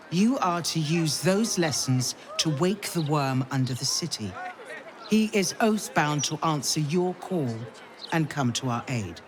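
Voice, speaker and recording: A woman playing a stern, loyal noblewoman counsellor in a fantasy video game, speaking in a solemn, formal, measured way. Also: low voice, background noise. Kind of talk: dramatic video game cutscene acting. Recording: music behind the voice.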